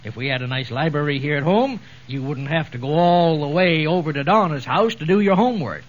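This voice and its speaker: A man's voice: fairly high pitch, lively intonation, a little fast, close to an everyday voice. An American man acting an absent-minded, blustering middle-aged father in a 1940s radio comedy, hemming and hawing in a folksy way. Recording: old muffled 1940s radio recording, noisy.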